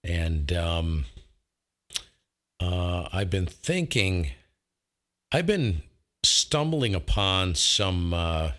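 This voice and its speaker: A man's voice: low voice, animated, very slow, long pauses, fairly bright tone. A man chatting casually over ham radio in an enthusiastic, emphatic way.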